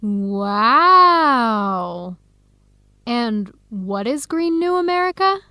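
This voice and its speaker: A woman's voice: a little high, sing-song, very slow, bright, forceful tone, clear, resonant tone, no echo, full character voice. A woman acting a young, playfully teasing friend in a scripted radio drama. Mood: curious.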